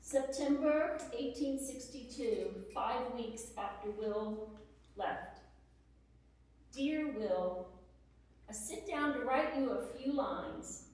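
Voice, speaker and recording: Weary, lonely Civil War wife played by a woman, plaintive letter-reading, high voice, distant mic in a reverberant room. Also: animated, slow pace, a little mellow. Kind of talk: dramatic reading of historical letters. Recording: echoey hall, distant microphone.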